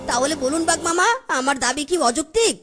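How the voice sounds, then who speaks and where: A woman's voice: sits high, fast, a bit bright, soft background music. A woman voicing a stingy, quarrelsome male fox moneylender in a comedy cartoon, scolding and blustering. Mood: insistent.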